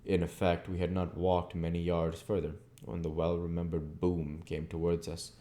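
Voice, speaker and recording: A man reading aloud as a narrator, in a measured, literary manner. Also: low-pitched, completely flat delivery, dark tone. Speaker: audiobook reader, channel host. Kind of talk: scripted narration of a classic novel.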